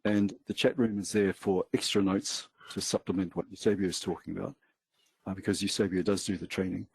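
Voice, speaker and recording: Husky low-voiced New Zealand man. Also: a little fast, no room sound. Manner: relaxed, hesitant, many fillers. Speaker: webinar co-host. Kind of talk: casual webinar talk.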